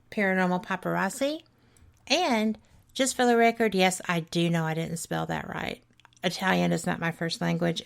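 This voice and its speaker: A woman's voice: mid-range voice, creaky. A middle-aged woman with a Southern accent, hosting a casual radio talk show and chatting with enthusiasm. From Alabama. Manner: chatty, enthusiastic, laughs easily.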